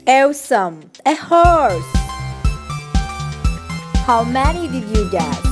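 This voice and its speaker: A woman presents to young children in a high voice. She goes very slowly, word by word, in an encouraging way. Loud jingly music plays behind her. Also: sing-song. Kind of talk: guessing game for young children.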